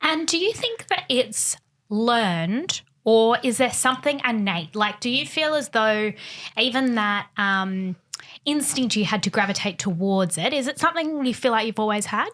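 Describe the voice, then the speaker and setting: A woman hosting a podcast, animated and upbeat as she interviews her guests. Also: on the high side, normal speed. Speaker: podcast host. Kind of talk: casual podcast chat.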